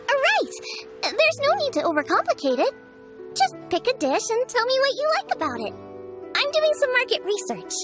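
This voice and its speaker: Eager girl cook, extremely high and animated, upbeat and curious, game dialogue. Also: normal speed, full character voice. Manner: upbeat, inquisitive. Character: eager, friendly girl cook researching new dishes. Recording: music behind the voice.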